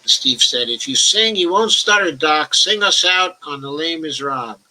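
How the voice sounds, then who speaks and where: A man's voice: a little high, animated, measured pace, bright, forceful tone, rough recording. An older man hosting a casual live-stream talk, reading out viewer comments and answering, with occasional stutters and chuckles. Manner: talks about his own stutter, some restarts. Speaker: host of a political discussion show.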